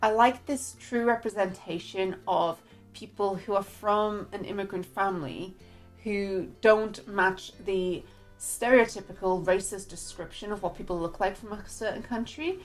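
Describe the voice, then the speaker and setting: A woman with a northeast English accent talks through a book review in a chatty, candid way, her pitch sitting in the middle for a woman. Faint background music runs under her voice. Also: unhurried. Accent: northeast English, mixed with other English accents. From northeast England. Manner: chatty, candid, reads quotes aloud.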